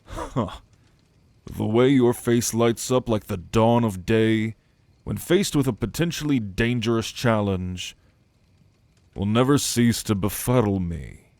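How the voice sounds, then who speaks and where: A man acting a brooding, dutiful ancient celestial being in an ASMR audio roleplay, speaking in a measured, formal, pensive way. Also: lowish voice, darkish tone, no echo. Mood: tender, wry. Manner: measured, pensive, formal phrasing with deliberate pauses.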